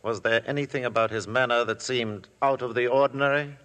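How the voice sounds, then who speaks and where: An actor playing a sharp male Crown prosecutor in a 1950s radio drama, probing and sarcastic in his questioning. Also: mid-range voice, fairly even intonation, fairly quick, lightly acted. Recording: vintage radio broadcast, long hall reverb.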